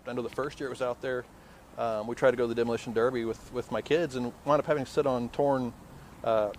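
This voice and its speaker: Fast-talking middle-aged man in interview. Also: mid-range voice, fairly even intonation, slight background noise, low-quality recording. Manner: halting, repeats words. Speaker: interviewee, local resident. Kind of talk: spontaneous interview answers.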